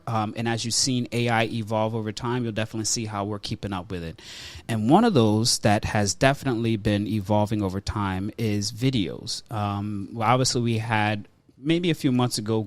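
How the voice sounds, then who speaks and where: A man's voice: on the low side, dry recording. Casual man hosting a podcast, dry sound. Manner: informal, slangy speech. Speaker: podcast host. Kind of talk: upbeat casual podcast banter and topic rundown.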